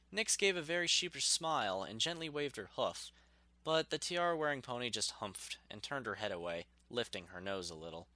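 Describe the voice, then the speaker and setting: A man's voice: lowish voice, pushed and bright, dry recording. A man narrating a scripted story expressively, in the manner of an audiobook reader.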